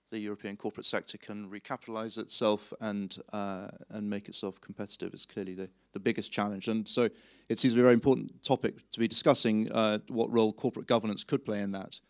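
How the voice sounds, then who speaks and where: Flat-voiced man moderating a seminar. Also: lowish voice, vocal fry, dry recording, clean studio sound. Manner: measured, filler-heavy. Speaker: panel moderator. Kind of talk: introducing a seminar. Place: conference hall, seated on stage. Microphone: handheld mic.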